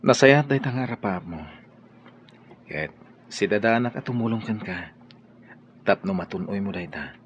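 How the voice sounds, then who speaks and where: An actor with an Ilocano accent playing an ardent gentleman pleading his love in a radio drama. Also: on the low side, lively intonation, moderate pace, long pauses, highs cut off, a little hiss or hum, natural voice. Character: ardent gentleman employer wooing his maid.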